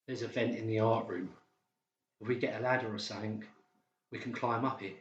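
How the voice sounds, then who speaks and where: A man's voice: on the low side, steady tone, brisk, close to an everyday voice. A man playing a desperate psychiatric patient plotting escape, urgent and insistent, in a dramatised audiobook. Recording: roomy, slightly dull sound.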